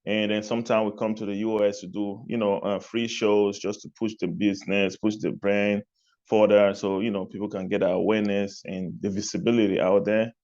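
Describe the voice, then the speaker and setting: A man from Cameroon, answering interview questions in a relaxed way, repeating phrases and laughing lightly between points. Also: on the low side, fairly quick, lo-fi sound. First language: not English. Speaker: guest, music artist and label executive.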